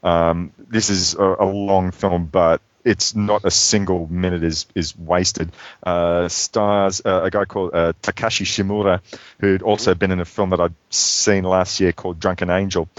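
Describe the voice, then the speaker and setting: Brief: deep-voiced man, podcast film chat, halting and rambling, dull dry sound. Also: flat delivery, no room sound. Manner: rambling, halting, many fillers and restarts. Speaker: podcast co-host reviewing films.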